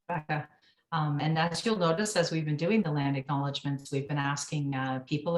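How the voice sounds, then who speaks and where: A woman's voice: deep voice, roomy sound. A woman hosting an online session, introducing the guest speaker with frequent hesitations. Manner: hesitant, many fillers. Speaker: event host.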